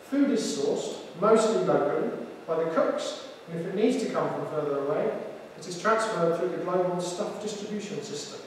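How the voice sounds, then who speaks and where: A man's voice: high-pitched, lively intonation, normal speed, few pauses, a little hiss or hum. A man voicing a smug talking fridge from the future. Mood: upbeat. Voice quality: rich accent. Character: chatty time-travelling talking fridge computer. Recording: echoey hall from far off.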